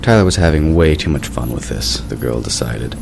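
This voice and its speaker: Male narrator, extremely deep voice, scripted storytelling, noisy background. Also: animated, fairly quick, creaky.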